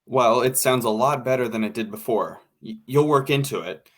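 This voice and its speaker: Casting: male actor as mid-pitched studio director, groping for words, tactful yet exacting, vintage radio play. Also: moderate pace, natural voice. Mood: reassuring. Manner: hesitant, groping for words, repeating himself. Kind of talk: naturalistic old-time radio drama acting.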